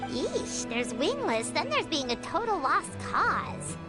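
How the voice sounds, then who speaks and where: A tiny cheeky fairy in a video game, squeaky and childlike. The voice is extremely high and swoops about bluntly over loud music. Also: female voice, big pitch swings, slow pace, full character voice. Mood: cheeky, curious.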